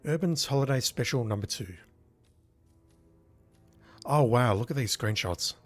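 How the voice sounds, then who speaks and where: A man with a croaky voice from illness, chatting casually as he streams a game. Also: mid-range voice, expressive, unhurried, faint music behind. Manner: rambling, chatty, apologetic. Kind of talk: casual gameplay commentary.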